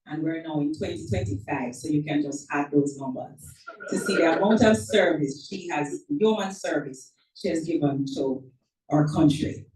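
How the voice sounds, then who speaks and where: A woman's voice: low voice, mellow. A woman reading a formal introduction of a guest speaker at a club meeting, with small slips and self-corrections. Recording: echoey room from far off.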